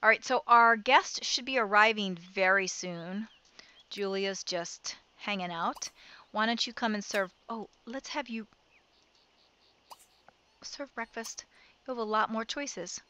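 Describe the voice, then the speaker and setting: A woman's voice: mid-range voice, measured pace, long pauses, sharp tone, clean tone. A woman casually commenting as she plays a life-simulation game, thinking aloud and giving her characters directions. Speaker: let's play host.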